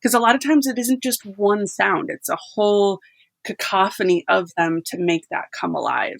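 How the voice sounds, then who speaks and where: A young woman talks casually about her sound design work, laughing easily between thoughts. Her voice carries a gravelly fry at the ends of phrases. The recording is dry and close, with no room around her. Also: medium pitch, normal speed, no room sound. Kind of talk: casual podcast chat.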